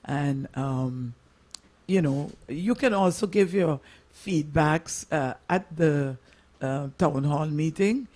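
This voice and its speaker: Slow-talking man hosting local radio. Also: on the high side, darkish tone. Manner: halting, wordy, formal phrasing. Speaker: host of a local radio program. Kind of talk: informal radio introduction and interviewing.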